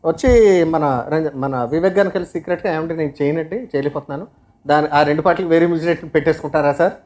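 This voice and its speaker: A woman's voice: low-pitched, fairly even intonation, fast, a little mellow. A woman telling casual anecdotes in an interview, quoting past conversations as she goes. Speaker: film music composer.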